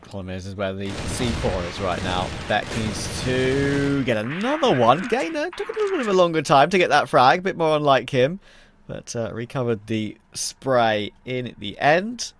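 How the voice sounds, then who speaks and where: Man, late twenties, esports play-by-play caster, medium pitch, faint background noise. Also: sing-song, moderate pace. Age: 20s.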